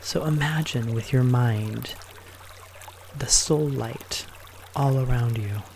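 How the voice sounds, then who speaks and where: A man leading a guided meditation in a gentle, unhurried manner, leaving pauses between short phrases. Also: mid-range voice, gravelly, a little hiss or hum.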